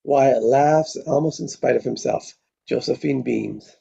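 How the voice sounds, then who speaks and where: A man's voice: medium pitch, a little slow, creaky, warm tone, husky. A man reading stage directions as narrator at a table read, in a descriptive storytelling style, on a dull, slightly noisy recording. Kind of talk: scripted screenplay narration at a live table read. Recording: dull, lo-fi sound with faint noise.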